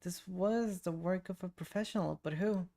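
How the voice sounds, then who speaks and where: A woman's voice: on the low side, normal speed, vocal fry. A woman streaming a video game, giving casual, laid-back commentary and reading game text aloud. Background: soft game music.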